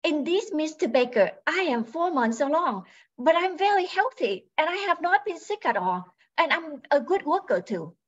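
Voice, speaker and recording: A woman's voice: sits high, very animated, moderate pace, highs cut off. A woman playing a plucky, polite young expectant mother seeking work in an old-time radio drama, earnest and formal. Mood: eager, earnest. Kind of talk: 1940s radio drama acting.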